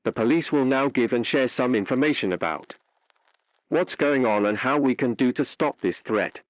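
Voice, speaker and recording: A man's voice: medium pitch, lively intonation, normal speed, slight background noise, lightly acted, poor audio. A man playing an emergency broadcast announcer, reading a scripted alert in a formal way. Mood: formal, serious.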